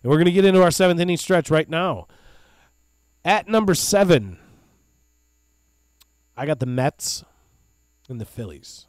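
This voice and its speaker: A man's voice: fairly high pitch, expressive, measured pace, long pauses, no room sound. A man, co-hosting a casual sports podcast. He talks with hearty enthusiasm and a hype-man flair. The sound is close and dry, with a faint hum under it. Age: 30s. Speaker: podcast co-host.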